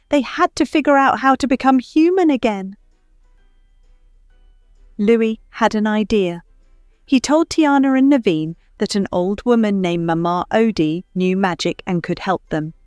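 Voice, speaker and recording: A woman reading a scripted children's story as narrator, in an expressive storytelling manner. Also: fairly high pitch, dry recording, clean studio sound. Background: faint music.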